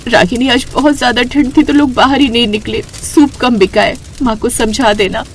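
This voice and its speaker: A woman's voice: fairly high pitch, steady tone, normal speed, natural voice. A woman voicing a meek, sorrowful young stepdaughter in a cartoon story, plaintive and pleading, with some background noise. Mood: meek, weary.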